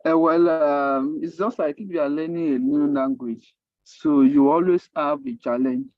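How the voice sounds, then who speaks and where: A man with a Nigerian accent and Yoruba as his native language, mentoring a class in an informal, halting way, repeating himself and asking rhetorical questions. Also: fairly high pitch, steady tone, no room sound, dull audio. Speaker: coding mentor. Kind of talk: informal online teaching.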